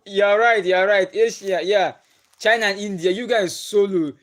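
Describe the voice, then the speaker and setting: A man's voice: sits high, a little slow. Chatty Nigerian man on a livestream. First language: Igbo. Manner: chatty, laughs often, asks viewers questions. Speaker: livestream host. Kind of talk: casual chat with live viewers. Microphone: phone or webcam on a livestream.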